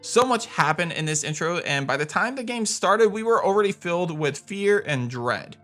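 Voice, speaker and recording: A man's voice: sits high, lively intonation, normal speed. A man hosting a video game countdown with enthusiastic, emphatic scripted commentary. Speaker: video game channel host. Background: background music.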